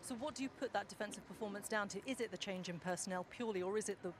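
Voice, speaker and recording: Brief: woman reporter, fast direct questions, faint noise behind. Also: medium pitch. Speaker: interviewer. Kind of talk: post-match interview questions.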